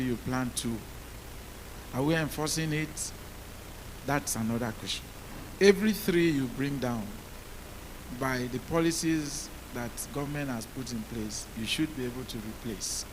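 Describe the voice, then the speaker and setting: Deep-voiced man, hissy conference mic. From Nigeria. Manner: measured, rhetorical questions to the audience. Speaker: policymaker on a conference panel. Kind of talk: panel talk.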